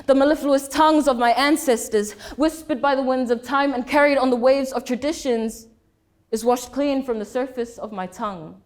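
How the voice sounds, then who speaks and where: Late-teen girl from Samoa, bright high voice; measured orator who drags out punchlines. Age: late teens. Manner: emphatic, slows right down on key phrases. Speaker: student speaker. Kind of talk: prepared speech competition oratory. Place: school speech competition.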